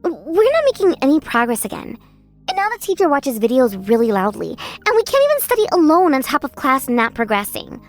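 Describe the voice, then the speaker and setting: A woman narrating as an exasperated schoolgirl in a manga dub, lively and a bit sarcastic. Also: high-pitched, brisk, faint music behind. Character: exasperated schoolgirl narrating her class's story.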